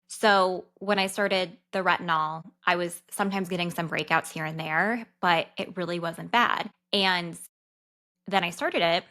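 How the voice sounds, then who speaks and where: Woman in her 30s, bright lowish voice, chatty and candid podcast talk. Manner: candid, chatty, fast-talking. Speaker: podcast host and dietitian. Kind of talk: casual personal monologue.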